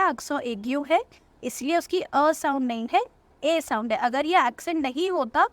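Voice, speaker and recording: A young woman teaching a language lesson, explaining step by step, repeating words slowly and often checking in with "right?". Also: high-pitched, dry recording. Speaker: French teacher. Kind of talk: language lesson in Hindi-English mix.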